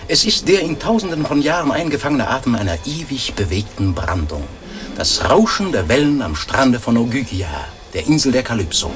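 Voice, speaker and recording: Learned, mysterious narrator for vintage radio drama: low, solemn, poetic, with music beneath. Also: male voice, a bit bright.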